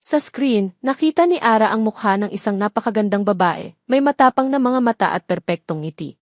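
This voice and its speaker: Woman storyteller reading a scripted drama, medium pitch, really dry sound. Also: animated, fairly quick, darkish tone, no room sound. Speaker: story narrator.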